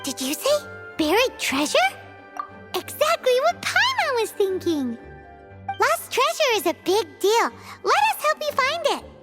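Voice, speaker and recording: A woman voicing a tiny, cheeky, excitable floating fairy girl in a video game, speaking of herself in the third person. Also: very high voice, a little slow, full character voice. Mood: excited. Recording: game music behind.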